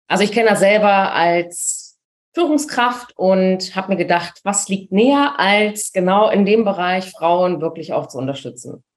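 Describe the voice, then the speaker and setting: This is a woman in mid-range pitch who talks as a business coach on a podcast. She sounds lively and enthusiastic, drops casual slang, and laughs easily while she explains her work. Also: a little slow. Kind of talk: casual podcast interview answers.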